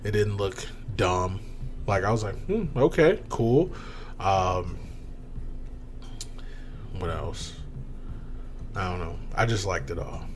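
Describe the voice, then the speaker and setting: A man co-hosting a casual movie review podcast, talking in a low-key, mumbly way and often trailing off. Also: expressive, takes it slowly, pauses often, slight background noise. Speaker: podcast co-host.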